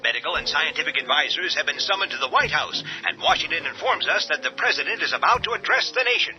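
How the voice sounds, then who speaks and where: A man playing a radio news announcer in a radio drama, reading bulletins fast and urgently through a radio-set sound. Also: on the high side, lively intonation, bright, forceful tone. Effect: radio broadcast sound. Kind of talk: old-time radio drama. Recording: dull lo-fi audio with faint music.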